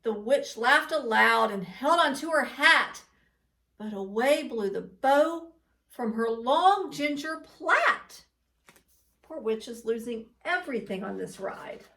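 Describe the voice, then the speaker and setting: A woman reading a children's picture book aloud as a bedtime story, expressive and deliberate, recorded some distance from the microphone. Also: fairly high pitch. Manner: dramatic, deliberate storytelling with asides.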